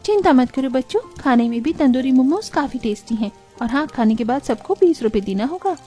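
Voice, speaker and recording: A woman playing a gentle, caring young woman of a poor family in an earnest cartoon style. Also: high-pitched, animated, fairly quick. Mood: teasing. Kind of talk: cartoon dialogue acting. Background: background music.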